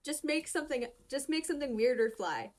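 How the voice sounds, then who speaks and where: A woman's voice: high-pitched, animated, a little fast. A young woman casually narrating and commenting on fan comics in a gushing, giggly, informal way.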